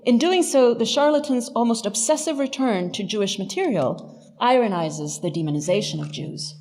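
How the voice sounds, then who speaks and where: A woman's voice: on the high side, animated, normal speed, darkish tone. A woman giving a scripted academic lecture as a professor, with a wry, emphatic manner. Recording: reverberant hall, music underneath.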